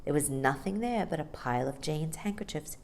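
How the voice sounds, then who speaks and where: Female storytime reader for children's fiction: slightly low register, highly varied intonation, expressive narration. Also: brisk, slight background noise.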